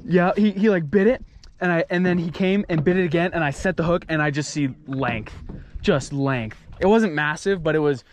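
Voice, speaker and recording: A young man chatting casually while fishing from a small boat, excited and laughing between catches. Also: a little high, a little hiss or hum. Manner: excited, laughing, quick exclamations. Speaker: fishing vlogger. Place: small boat on a lake outdoors. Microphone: action camera.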